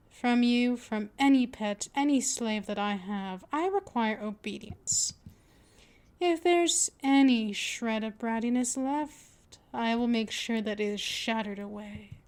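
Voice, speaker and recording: Woman acting as a vampire mistress, dominant, unhurried, fairly high voice, teasing, possessive, ASMR roleplay. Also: a little mellow. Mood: stern, possessive. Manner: slow, deliberate, coaxing, with drawn-out pet names.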